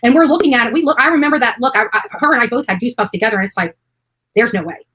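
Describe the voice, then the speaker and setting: A woman's voice: fairly high pitch, very fast, fairly bright tone, slightly rough. A woman in her early forties in casual, emphatic discussion, often repeating phrases to drive a point home. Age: early 40s. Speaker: true crime YouTuber.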